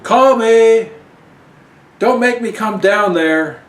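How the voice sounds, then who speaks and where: A man voicing a brash, raunchy, sarcastic comic-book heroine with a loud, exclaiming delivery. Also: sits high, takes it slowly, voice pushed up. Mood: eager shouting. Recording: roomy, distant mic, faint noise.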